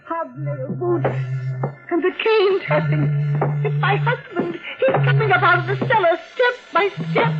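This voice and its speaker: A terrified lonely woman near sixty. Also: a little high. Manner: breathless, pleading, trembling between laughter and tears. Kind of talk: 1940s radio acting. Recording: dull old radio broadcast with faint music.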